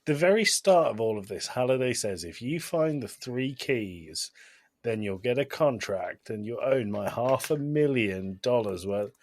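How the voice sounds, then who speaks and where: A man's voice: medium pitch, lively intonation, unhurried. A man co-hosting a podcast, chatting casually with wry, joking interjections. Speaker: podcast co-host. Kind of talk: casual comedic chat.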